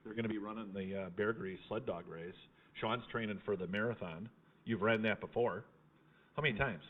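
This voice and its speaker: Man, low-pitched voice, casual live host, folksy, teasing, tinny phone-grade sound. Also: moderate pace. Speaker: host of a fundraiser livestream.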